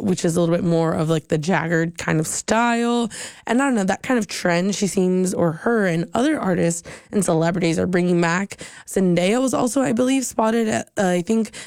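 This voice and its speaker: A young woman hosting a college radio show, chatting casually and rambling upbeat, her voice slightly hoarse and giving out. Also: mid-range voice, a little mellow, no room sound, studio quality. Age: young adult. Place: radio studio booth. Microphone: studio microphone.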